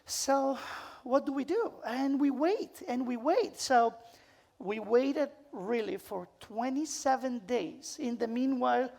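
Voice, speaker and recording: A woman's voice: fairly high pitch, gravelly, a little mellow. A woman with an Italian accent, a photographer telling stories to a seminar as its speaker, repeating words for emphasis in informal English. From Italy. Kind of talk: live seminar storytelling.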